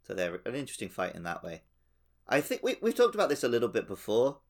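A man's voice: mid-range voice, huge pitch swings, fast talker, gravelly. A man co-hosting a casual gaming podcast, explaining things in an analytical, step-by-step way. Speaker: podcast co-host. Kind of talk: casual video game discussion.

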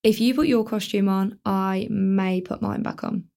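Actress as sarcastic teen girl, quick-tempered, mid-pitched voice. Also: fairly even intonation, gravelly, warm tone. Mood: wry. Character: teenage schoolgirl, dramatic, quick-tempered, wry. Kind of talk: radio drama acting.

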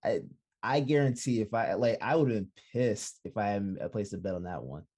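A man co-hosting a sports podcast, talking in halting bursts with many restarts, easily excited. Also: lowish voice, husky. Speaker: podcast co-host. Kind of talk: casual sports chat.

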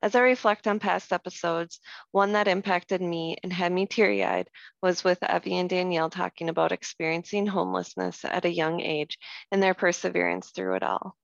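Gravelly-voiced female podcast co-host. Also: fairly low pitch, fairly even intonation, moderate pace, dry recording, dull audio. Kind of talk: scripted reflection.

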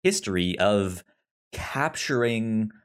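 Guy with a lowish voice chatting about movies on a podcast, rambles and restarts a lot. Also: expressive, clean tone. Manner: halting, rambling, many restarts. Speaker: podcast co-host. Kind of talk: casual film discussion.

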